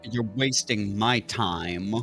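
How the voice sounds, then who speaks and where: A man's voice: lowish voice, takes it slowly, bright, forceful tone, soft background music, heavily acted. A man playing a dry, sardonic bounty hunter in a fantasy game, impatient and sarcastic. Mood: dry sarcasm.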